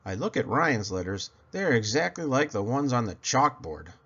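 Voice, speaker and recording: A man reading a children's picture book aloud as scripted narration, in an expressive storytelling manner. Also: medium pitch, normal speed, slightly rough, highs cut off.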